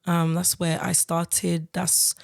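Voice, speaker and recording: A woman's voice: on the low side, monotone, slow pace, vocal fry. A young woman, raised in Ireland, chatting casually as a podcast guest, with many fillers and frequent laughter. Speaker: guest, singer-songwriter.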